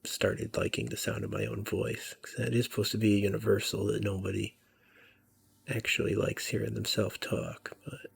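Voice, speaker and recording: A man giving casual, rambling commentary over a video game, musing about himself and often dropping to a soft near-whisper. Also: on the low side, flat delivery, normal speed, creaky, slightly rough. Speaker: let's play commentator.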